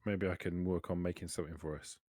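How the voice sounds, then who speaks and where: Low-voiced man playing a tabletop game. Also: fairly even intonation, fast, darkish tone, no echo. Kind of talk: casual in-character table talk.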